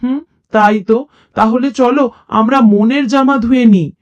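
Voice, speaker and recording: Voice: medium pitch, fairly even intonation, a little slow, darkish tone, lo-fi sound, close to an everyday voice. A storyteller voicing a whimsical, solemn talking jackal for children. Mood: earnest, whimsical.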